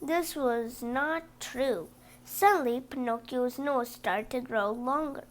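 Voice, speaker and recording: A woman reading a children's story aloud with animated, emphatic stresses and brief asides. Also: high voice, takes it slowly. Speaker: storybook reader.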